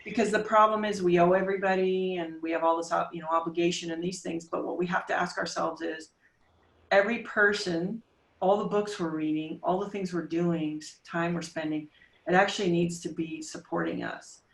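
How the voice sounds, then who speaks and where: A woman in her forties, a podcast guest, talking casually with emphatic, coaching punch and quick rhetorical questions. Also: fairly low pitch, steady tone, far from the mic. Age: 40s. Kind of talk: casual interview talk. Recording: roomy sound, voice off-mic.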